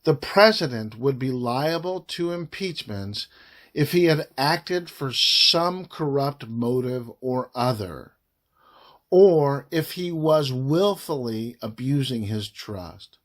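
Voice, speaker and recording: A man reading a formal report aloud in deliberate phrases, with frequent breaks between them. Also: medium pitch, expressive. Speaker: reader of a government report.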